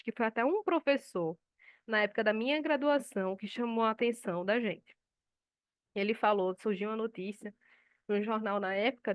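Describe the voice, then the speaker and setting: A woman's voice: fairly high pitch, no room sound, clean studio sound. A woman teaching a class in a conversational way, asking her students questions and acting out quoted dialogue. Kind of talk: live online class lecture.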